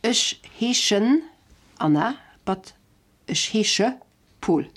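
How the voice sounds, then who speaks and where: A woman's voice: mid-range voice, expressive, very slow, slightly rough. A woman teaching in a scripted language lesson, giving examples carefully word by word in a didactic manner.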